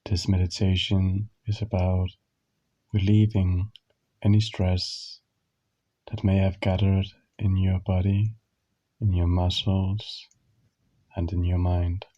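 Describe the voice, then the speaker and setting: A man leading a guided meditation, giving gentle instructions in short phrases with long pauses. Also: low-pitched, level tone, dry recording, dull audio.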